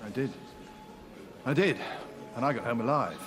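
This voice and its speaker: An actor playing a combative patriotic war veteran in a period drama, gruff and challenging. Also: male voice, medium pitch, expressive, moderate pace, close to an everyday voice. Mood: proud, bitter. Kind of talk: period television drama acting.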